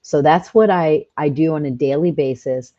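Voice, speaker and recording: A woman's voice: deep voice, moderate pace, warm tone, lo-fi sound. A woman in her fifties, a guest in a casual interview, talking in an upbeat, chatty way and laughing often. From California. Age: 50s.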